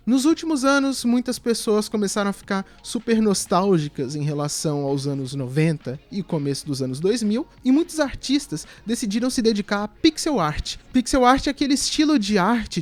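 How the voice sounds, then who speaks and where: A man's voice: high-pitched, a little mellow. A Brazilian man giving casual video essay commentary in a conversational, explanatory way.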